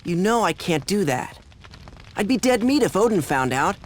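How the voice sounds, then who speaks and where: An actress voices a brash female warrior from a fantasy game, scornful of humans. Her mid-range voice swings in pitch as she taunts in cocky, casual slang. A faint noise sits behind the voice. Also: big pitch swings, moderate pace, lightly acted. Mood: teasing, dismissive. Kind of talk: English video game voice acting.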